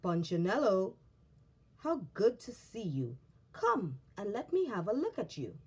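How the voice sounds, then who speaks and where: A big bearded woodcarver from a children's storybook, acted out by a woman, kindly and fatherly. He reassures in slow, deliberate phrases, with expressive pitch. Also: slightly rough, heavily acted. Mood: welcoming.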